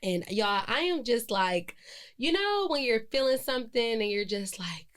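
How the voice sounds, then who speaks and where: A woman's voice: on the high side, lively intonation, moderate pace, a bit bright, smooth. A woman, hosting a solo podcast in a chatty, reflective way, with many fillers and self-corrections. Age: 20s. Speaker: podcast host. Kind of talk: casual solo podcast monologue.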